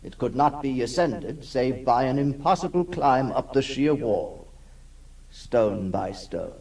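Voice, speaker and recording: Slow, creaky-voiced, haunted castle recluse. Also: male voice, medium pitch, animated, darkish tone. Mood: awed, intense. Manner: slow, grave, theatrical, with dramatic pauses. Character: brooding, haunted male recluse raised in a decaying castle. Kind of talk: 1960s radio horror monologue, dramatic first-person narration. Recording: distant-sounding 1960s radio recording.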